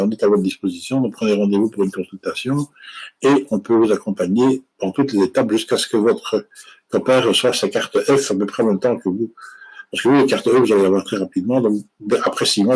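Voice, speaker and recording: A man's voice: lowish voice, a little mellow, breathy edge, poor audio. A man working as an immigration lawyer, answering viewer questions live and explaining the law with frequent hesitations and restarts.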